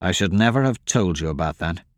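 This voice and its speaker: A man voicing a brooding, cynical rogue in a fantasy audiobook, speaking curtly in a dry deadpan. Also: a little fast, dry recording. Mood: sullen. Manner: low-key, dry, deadpan. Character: cynical, brooding male rogue, curt and unsentimental.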